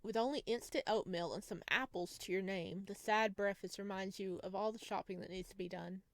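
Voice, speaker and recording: A woman's voice: mid-range voice, brisk, no room sound. A woman doing a let's play, reading visual novel narration aloud and dropping in chatty, casual asides. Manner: chatty asides, reacts to the story. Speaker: video game streamer.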